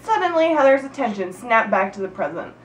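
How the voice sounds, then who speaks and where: A woman with a fairly high, very lively voice reads a funny story aloud to friends. She is giggly and informal, tripping over words and breaking off to comment. The recording is echoey and sounds somewhat far from the mic. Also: brisk. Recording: echoey room, distant mic, background noise.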